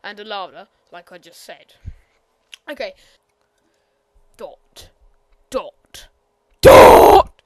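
Rambling high-voiced woman narrating gameplay. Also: huge pitch swings, drawn-out words, creaky, breathy edge. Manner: rambling, hesitant, full of ums and apologies; quiet, sometimes bursts out loud. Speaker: gameplay commentator. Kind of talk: casual game tour voiceover.

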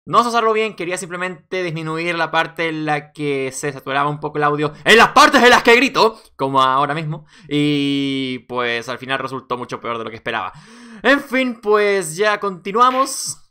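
A man's voice: a little high, animated, normal speed, sharp tone, clean tone. A man hosting a gaming video with casual let's play commentary.

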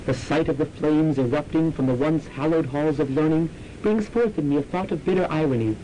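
A man plays a melodramatic, brooding poetic soul in a radio play. His voice is mellow and fairly high, solemn and grandiose. A faint rumble sits in the amateur student recording. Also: a little fast, natural voice, poor audio. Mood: grandly wistful. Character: pompous, brooding young man speaking in purple poetic prose. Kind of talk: campy college radio drama acting.

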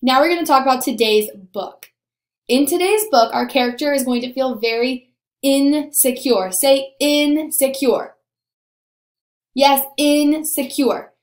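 A young woman teacher giving a recorded lesson to young children, explaining simply and deliberately, on a computer mic in a small room. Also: high voice. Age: young adult. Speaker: elementary school teacher. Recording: roomy, echoing home recording.

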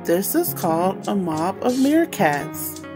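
A woman reading a children's picture book aloud as a storytime host, explaining things simply and deliberately for young listeners. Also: mid-range voice, very animated, over music.

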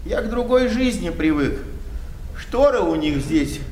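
An actor playing a melancholy, stubborn but gentle old village man in a radio play. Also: high voice, lively intonation, unhurried. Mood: wistful, earnest. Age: older. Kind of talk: 1990 Soviet radio play acting. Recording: echoey, distant radio play, faint noise.

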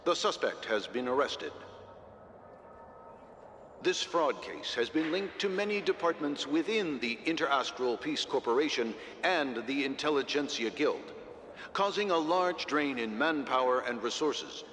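A man's voice: mid-range voice, animated, measured pace, a bit bright. An actor playing a formal male news announcer delivering an official bulletin in a video game. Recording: reverberant broadcast with faint noise.

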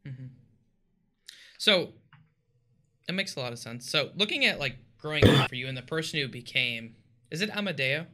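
A man's voice: fairly high pitch, animated, moderate pace, pauses often, bright, forceful tone, slight background noise. A young man hosting an interview over a headset on a video call, asking short questions. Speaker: interview host. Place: room with brick wall.

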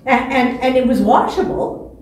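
A woman in her sixties telling a children's story expressively, with dramatic pauses and repetition. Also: high voice, a little mellow. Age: 60s. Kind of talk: animated children's storytelling from memory. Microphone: distant camera microphone. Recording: echoey stage, distant mic, faint noise.

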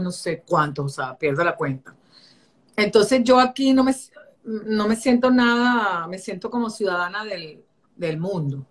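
A middle-aged woman from Venezuela with a Caracas accent, telling stories in an animated, chatty way with many restarts, recorded over a video call from home. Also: on the high side. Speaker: architect interviewee. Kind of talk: casual interview. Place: home room.

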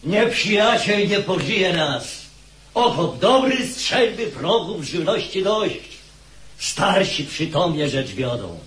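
High-voiced boastful soldier, echoing. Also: male voice, animated, slow pace. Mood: confident. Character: confident soldier boasting before battle. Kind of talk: radio drama acting. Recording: echoing, noisy background.

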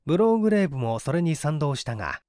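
Man, expressive storyteller, animated intonation, dry close sound, literary narration. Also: a little high, fast talker, dry recording. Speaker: audiobook narrator, stage actor. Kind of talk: dramatic scripted literary narration.

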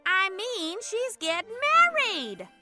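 Shrill, overexcited cartoonish seagull. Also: female voice, very animated, slow, loud music behind the voice, exaggerated voice. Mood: excited. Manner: squawky, exclamatory, overexcited. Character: excitable scatterbrained seagull friend, loud and cheery. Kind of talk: audio drama acting.

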